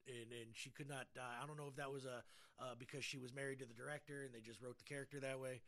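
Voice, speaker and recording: Bright-voiced man in rambling casual chat. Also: mid-range voice, steady tone, quick pace. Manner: rambling, repetitive, peppered with mild swearing. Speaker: podcast co-host. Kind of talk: casual chat about video games and films.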